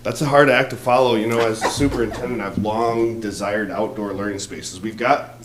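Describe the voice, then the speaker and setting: A man with a fairly low, loud voice gives a plainspoken report as a superintendent. He speaks in an echoey meeting room, and there is a faint hiss behind him. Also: steady tone, normal speed. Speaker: school superintendent. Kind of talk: public meeting presentation. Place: township board meeting room.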